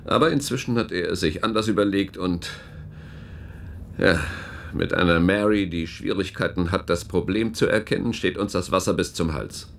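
An actor playing a calculating, cynical government security official in a German radio play, ironic, deliberate and coolly polite. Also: male voice, deep voice, creaky, a bit bright, a little hiss or hum. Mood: sardonic, cool.

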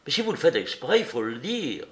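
A man's voice: on the high side, big pitch swings, brisk, a bit bright. A man reading aloud and voicing a young male clerk who speaks in a dry, offhand, dismissive way. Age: young adult.

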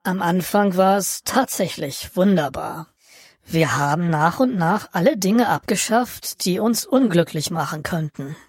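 A woman voicing a genial, drily ironic Martian son from Utopia in a satirical radio play, speaking deliberately and theatrically. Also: fairly low pitch, darkish tone, husky, no room sound, clean studio sound. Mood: matter-of-fact, wistful. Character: genial, wry, bored Martian alien, prodigal son from Utopia.

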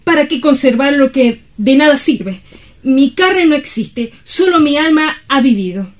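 A woman's voice: sits high, lively intonation, measured pace. An actress playing a melancholy, world-weary romantic lady in a comic stage play, with a wistful, affected and dramatic delivery. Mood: despairing.

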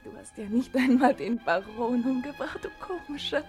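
A woman's voice: high voice, lively intonation, normal speed, a little mellow, soft background music. An actress playing a proud, tormented young woman in love in a radio drama, swinging dramatically between scorn and tenderness. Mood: tender, feverish. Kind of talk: German radio drama acting.

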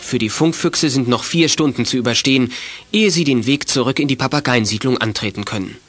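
A man's voice: mid-range voice, fairly quick, fairly bright tone, faint music behind, close to an everyday voice. A man reads scripted, matter-of-fact narration for a children's detective audio drama. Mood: narrating. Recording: clearly noisy old audio play recording.

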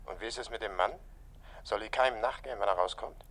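A man's voice: on the low side, fast talker, a bit bright, a little hiss or hum, lightly acted. An actor playing an alert, obedient police detective in a 1960s German radio play, speaking in a businesslike way to his chief.

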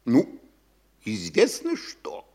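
An actor playing a sly, wheedling provincial official in a classic radio play, insinuating and coaxing. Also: male voice, medium pitch, huge pitch swings, very slow, a little mellow, exaggerated voice. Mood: scheming. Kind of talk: classic stage-style radio acting.

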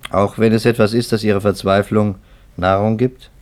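An actor playing a calm, probing investigator in a 1950s radio drama, measured and quietly challenging. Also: male voice, fairly low pitch, close to an everyday voice.

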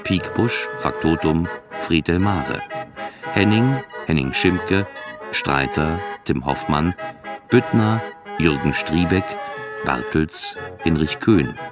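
A man's voice: extremely low pitch, expressive, takes it slowly. A man announcing the title and credits of a radio drama in a scripted read. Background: music.

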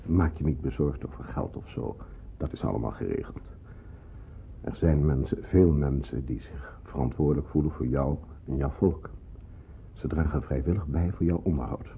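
An actor playing a kindly but firm resistance helper in a radio play, earnest and reassuring. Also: male voice, extremely low pitch, moderate pace, gravelly. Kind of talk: Dutch radio play acting. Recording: noisy narrow-band old radio play recording.

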